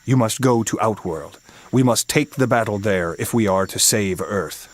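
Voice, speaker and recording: An actor voicing a wise, stern male god who mentors warriors in a video game, speaking in grave, measured commands. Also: lowish voice, lightly acted. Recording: game audio with loud music and noise.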